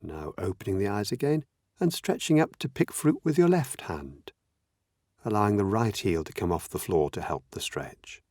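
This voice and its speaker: A man leading a guided meditation as a teacher, speaking gently in measured, unhurried phrases with long pauses. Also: lowish voice, very animated, no room sound, studio quality. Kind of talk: guided meditation, slow spoken instructions.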